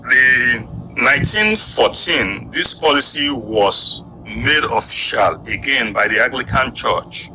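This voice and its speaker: A man from Edo in Nigeria with a Nigerian accent, explaining local history as an interview guest, slow and deliberate with long pauses. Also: mid-range voice, expressive, vocal fry, bright, forceful tone, a little hiss or hum, low-quality recording.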